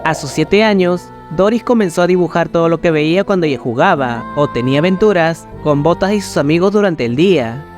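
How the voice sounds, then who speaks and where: A man's voice: on the high side, expressive, fairly quick, hardly any pauses. A man reading a scripted creepypasta narration as a storyteller. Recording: music behind the voice. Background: background music.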